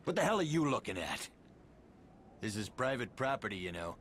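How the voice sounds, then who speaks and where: An actor playing the brooding, bitter son of a sinister tycoon in a video game, telling his story ominously with hushed phrase endings. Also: medium pitch, big pitch swings, normal speed. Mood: hostile. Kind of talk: video game cutscene monologue. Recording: faint background ambient noise. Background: faint ambience.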